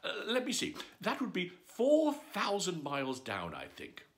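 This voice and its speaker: Brief: curious chatty young girl, put on by a man, bright tone, pitch swooping all over as she muses aloud. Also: wildly swooping pitch, unhurried, exaggerated voice. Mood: wondering. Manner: wondering, musing aloud.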